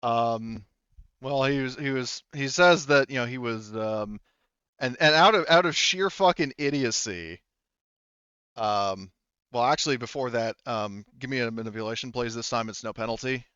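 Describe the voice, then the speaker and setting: A man improvising narration as a tabletop game master, with halting, stop-start phrasing. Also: medium pitch, pushed and bright, clear, resonant tone, dry recording, highs cut off.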